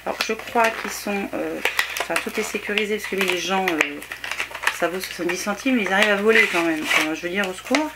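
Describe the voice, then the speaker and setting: A woman's voice: mid-range voice, fairly quick, very noisy. A woman in her thirties from France, chatting through a shopping haul at home, hesitant with many fillers and enthusiastic. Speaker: haul vlogger. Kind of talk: casual show-and-tell haul. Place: small indoor bedroom set.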